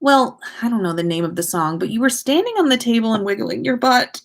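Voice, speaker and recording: A woman voicing a bewildered, uneasy schoolboy with a halting, puzzled way of talking, in a storybook reading. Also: fairly high pitch, animated, vocal fry, pitched above the performer's own voice. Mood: awkward, amused. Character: bewildered, horrified schoolboy who hears phantom singing.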